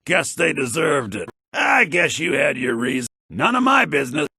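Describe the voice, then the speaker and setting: An actor playing a guarded, unfriendly working villager in a fantasy video game, with a gruff, curt manner. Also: male voice, a little high, sing-song, moderate pace, pushed and bright, no room sound, clean studio sound, heavily acted. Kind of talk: video game character dialogue.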